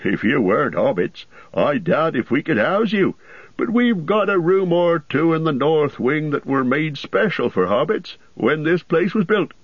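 A man voicing a bustling, forgetful, talkative middle-aged innkeeper in a storybook reading, in a high, hurried, fussy voice. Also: big pitch swings, breathy edge, no room sound, dull audio, heavily acted. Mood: chatty, harried.

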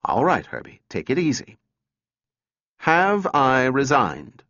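A man's voice: mid-range voice, wildly swooping pitch, slow, bright, forceful tone, voice pushed up, exaggerated voice. A man voicing a stiff, formal and uneasy male research director in a storybook reading. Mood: anxious. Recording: echoey room, dull muffled sound.

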